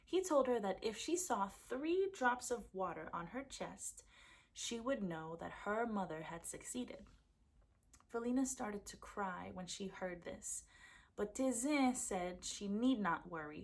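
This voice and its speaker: A woman reading a folktale aloud as a storyteller, with expressive narration for children. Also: mid-range voice, a little slow.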